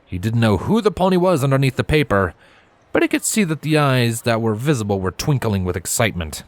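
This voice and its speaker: A man's voice: medium pitch, sing-song, brisk, no room sound. A man reading a scripted story aloud as its narrator. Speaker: fan fiction reader.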